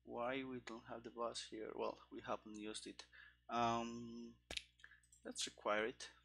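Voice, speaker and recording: A man in his thirties talks himself through live coding at a slow, halting pace. His voice is bright and sharp, picked up on a headset mic. Keys clatter and click behind his muttered working-out. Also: medium pitch, little pitch movement, gravelly, husky. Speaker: programmer streaming game development. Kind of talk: thinking aloud while live coding. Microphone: headset microphone. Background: keyboard typing and clicking.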